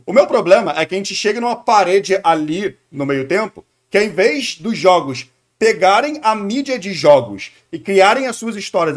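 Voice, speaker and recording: Brazilian man with a higher-pitched voice, casually opinionated. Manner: opinionated, explanatory, informal slang. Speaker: streamer reacting to a video. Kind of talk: casual live commentary, answering chat.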